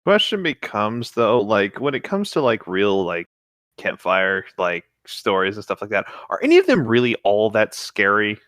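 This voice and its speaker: A man's voice: lowish voice, huge pitch swings, moderate pace, fairly bright tone, no echo, clean studio sound. A man co-hosting a podcast in casual conversation, hesitantly searching for his words. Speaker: podcast co-host. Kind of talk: casual chat.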